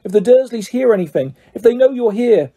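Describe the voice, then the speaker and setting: A man's voice: high-pitched, sing-song, brisk, breathy edge, exaggerated voice. A man voicing a polite, nervous schoolboy wizard with a hesitant manner in a storybook reading. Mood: earnest, urgent. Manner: hesitant, hushed urgency.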